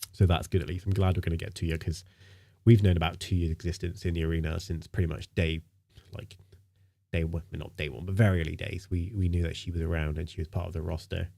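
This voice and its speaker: A man's voice: low voice, gravelly, dark tone. A man streaming live in casual chat, sounding nasal from a stinking cold, rambling with lots of fillers and repeated words.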